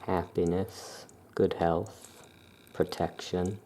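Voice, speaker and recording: An ASMR performer speaking softly and calmly in the role of a witch shopkeeper, explaining items in an unhurried way. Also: low-pitched, slight background noise. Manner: soft-spoken, calm, unhurried explaining. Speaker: witch shop keeper presenting amulets. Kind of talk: ASMR soft-spoken shopkeeper roleplay.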